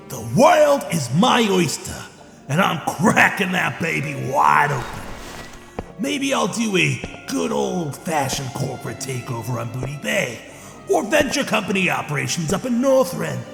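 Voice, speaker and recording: A man playing a greedy, hot-tempered goblin trade prince in an audio drama, with a gravelly voice and blustering, slangy delivery. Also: on the high side, very animated, measured pace, full character voice. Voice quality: gravelly, creaky. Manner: blustering, slangy wiseguy talk. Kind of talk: fan audio drama character acting. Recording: echoey hall reverb under loud music.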